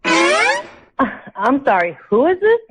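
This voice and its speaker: A woman's voice: extremely high pitch, wildly swooping pitch, slow, music in the background. A woman in a guarded phone conversation, answering in a curt, defensive way. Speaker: person phoned by a radio show.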